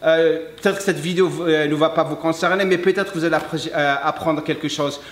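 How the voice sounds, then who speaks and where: A man, a French speaker, talking earnestly to camera as a fitness coach, emphatic and halting, slipping between French and Arabic. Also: fairly high pitch, fairly even intonation. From Algeria. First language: English. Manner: emphatic, halting non-native French with repeats. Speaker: fitness coach and video host. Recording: echoey room.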